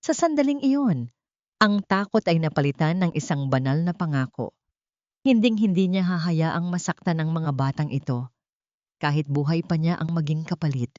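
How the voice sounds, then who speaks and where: A woman's voice: sits low, animated, normal speed, darkish tone, no room sound, highs cut off. A woman narrates a scripted dramatic story in a solemn, theatrical manner. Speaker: audiobook narrator.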